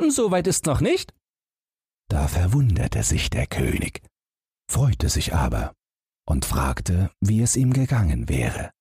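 Deep, husky male storyteller, very animated. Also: dry recording, studio quality. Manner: measured, dramatic storytelling. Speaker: audiobook narrator. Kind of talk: expressive scripted fairy-tale narration.

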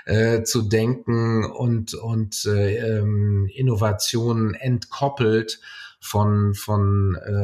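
A man giving conversational interview answers as a guest, searching haltingly for words and mixing in English business jargon. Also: fairly low pitch, darkish tone. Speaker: interview guest, company product executive.

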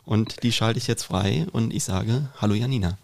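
A young man with a fairly low voice co-hosts a casual podcast. He speaks in a conversational way, often stammering and doubling back on words as he goes. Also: normal speed, vocal fry, darkish tone. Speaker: podcast co-host.

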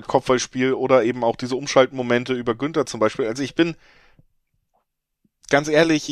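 A man co-hosting a football betting podcast, slightly hoarse from a cold, talking in a rambling, emphatic way and often correcting himself. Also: mid-range voice, no echo, clean studio sound. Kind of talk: casual football analysis chat.

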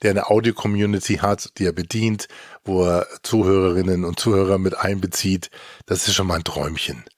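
Low-voiced man in casual podcast banter. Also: steady tone, no echo, studio quality. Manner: halting, self-interrupting, jokey banter. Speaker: podcast host.

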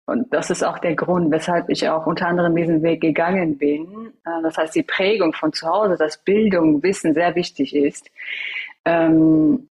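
A woman's voice: on the low side, moderate pace, gravelly, a little mellow, husky, low-quality recording. A woman in her thirties whose first language is Farsi, a guest telling her life story in a casual interview, hesitant and reflective with many fillers.

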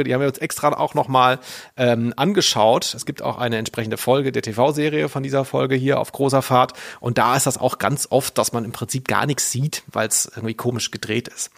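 A man's voice: medium pitch, no room sound. Man chatting casually, close and dry. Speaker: podcast host. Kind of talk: casual podcast chat about children's audio dramas.

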